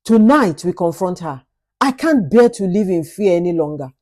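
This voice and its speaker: Female storyteller as a desperate young wife: earnest, pleading, determined, mellow voice, for folktale drama. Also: fairly low pitch, lively intonation, moderate pace. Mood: grim resolve.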